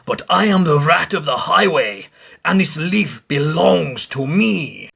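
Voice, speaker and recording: Storybook villain: greedy, scornful rat bandit played by a man, husky, bellowing and very animated. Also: a little high, voice pushed up, full character voice. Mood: menacing, scornful. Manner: scornful, bellowing, drawn-out commands. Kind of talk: storybook character voice.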